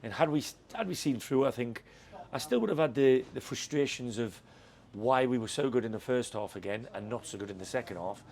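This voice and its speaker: A man's voice: medium pitch, animated, brisk, vocal fry, darkish tone, a little hiss or hum. A man who manages a football club, talking frankly and reflectively in a post-match interview, often repeating words as he thinks. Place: football stadium.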